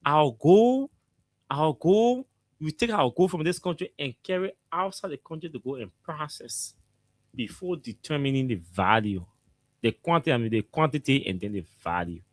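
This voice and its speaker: Animated Liberian man, higher voice. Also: moderate pace, no echo. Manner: animated, emphatic, repeats himself. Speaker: talk show host. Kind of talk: radio talk show discussion. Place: radio studio.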